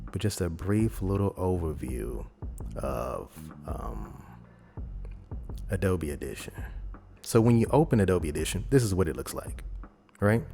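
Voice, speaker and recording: A man presenting a software tutorial in a casual, rambling, conversational way, talking informally through each step. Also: low-pitched. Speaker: video editing tutorial host.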